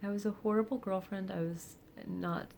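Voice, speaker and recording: A woman of forty speaking candidly and reflectively in a personal vlog, with soft laughs and hesitant fillers. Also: medium pitch, steady tone, moderate pace, creaky, slight background noise. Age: 40s. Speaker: vlogger. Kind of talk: personal confessional monologue.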